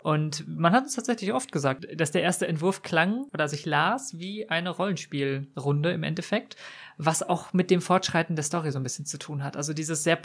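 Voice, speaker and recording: A man's voice: a little high, normal speed, fairly bright tone. Analytical man explaining in casual talk. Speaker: podcast guest, co-author of a novel.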